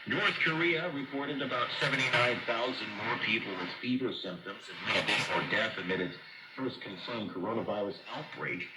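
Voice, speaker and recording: A man reads a scripted news bulletin as a radio anchor, in a measured, formal delivery. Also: on the low side. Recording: noisy shortwave radio broadcast, roomy echo. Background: loud radio static and noise.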